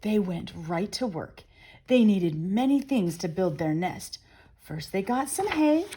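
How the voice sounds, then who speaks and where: A middle-aged woman reading a children's picture book aloud as storyteller, expressive and deliberate. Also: mid-range voice, slightly rough. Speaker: storyteller and channel host.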